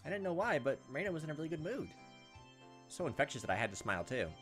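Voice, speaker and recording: Male gaming host, brisk delivery, reads game text with wry casual asides, music underneath. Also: a little high, big pitch swings, fairly bright tone. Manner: jokey asides and reactions. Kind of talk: casual let's play, reading visual novel text aloud with offhand commentary. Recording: music behind the voice. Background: visual novel game music.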